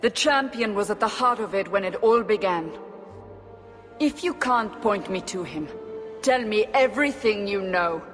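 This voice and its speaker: A woman's voice: mid-range voice, expressive, measured pace, fairly bright tone, long reverb tail, faint music behind. An actress playing a stern female church investigator who questions curtly and commandingly. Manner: curt, commanding interrogation.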